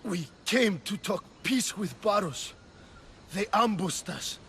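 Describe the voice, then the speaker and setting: An actor playing a wounded soldier, the only survivor of an ambush, speaking tersely and wearily in a video game cutscene. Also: male voice, high-pitched, expressive, slow, gravelly, sharp tone, a little hiss or hum, heavily acted. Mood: grim. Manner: terse, weary.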